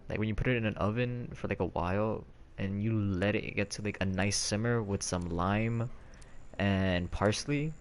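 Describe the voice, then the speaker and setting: A man streaming from home on a headset mic, rambling in casual chat with many fillers and restarts. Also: lowish voice.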